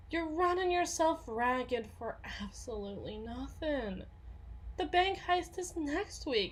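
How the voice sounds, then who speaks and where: Over clear background noise comes a woman acting out a tough henchwoman and bodyguard in an ASMR roleplay. She takes her time, speaking at a middling pitch in a slangy drawl. Her manner is sardonic, guarded and foul-mouthed. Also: a bit bright. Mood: wry, sardonic. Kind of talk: ASMR roleplay, acted monologue.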